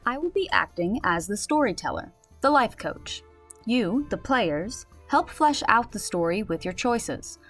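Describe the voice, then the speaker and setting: Woman playing an animated, composed fantasy storyteller. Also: mid-range voice, measured pace, soft background music. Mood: explaining. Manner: clear explanatory, gently authoritative. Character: composed, organized female game storyteller, patient but firm.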